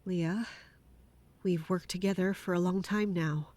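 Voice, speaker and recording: An actress playing a weary, guarded female captain in an audio drama, speaking in a measured, restrained way. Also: fairly low pitch, fairly even intonation, darkish tone, natural voice. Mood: grave, restrained.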